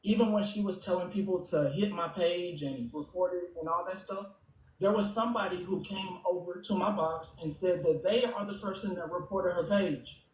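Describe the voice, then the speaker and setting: A man with a high-pitched voice answers questions defensively and explains himself at length. He sits away from the mic in an echoey room, with light noise underneath. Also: moderate pace. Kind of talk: casual conversation on a live panel. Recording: roomy, distant mic with noise.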